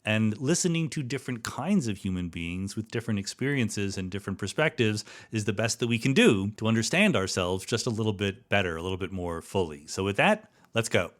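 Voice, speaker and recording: A man with a fairly low voice speaks as a podcast host. He explains ideas in a conversational way, dropping in rhetorical asides as he goes. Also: lively intonation, brisk, few pauses, fairly bright tone. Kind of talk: conversational monologue and interview.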